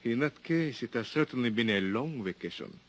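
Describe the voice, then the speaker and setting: An actor playing a formal, stern police lieutenant with a Mexican accent in an old time radio drama, stiffly courteous. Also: male voice, mid-range voice, expressive, moderate pace, close to an everyday voice. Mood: measured. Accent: Mexican Spanish.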